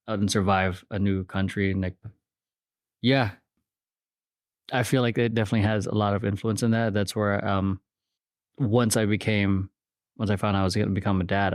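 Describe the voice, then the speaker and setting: A man's voice: on the low side, no echo, studio quality. A man in his thirties from the Philippines, speaking as a podcast guest in a hesitant, reflective way with many restarts. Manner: hesitant, restarts often, thinks aloud. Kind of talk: casual reflective interview.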